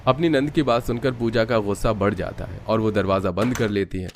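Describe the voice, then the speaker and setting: Male narrator for an animated domestic story, deeper pitch, scripted read with faint background noise. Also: fairly quick.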